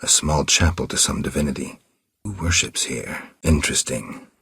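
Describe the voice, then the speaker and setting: A man voicing a stoic, world-weary monster hunter in a video game, terse and deadpan, with a gravelly, husky low voice. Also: normal speed, no room sound, full character voice. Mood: quietly observant. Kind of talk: video game dialogue lines.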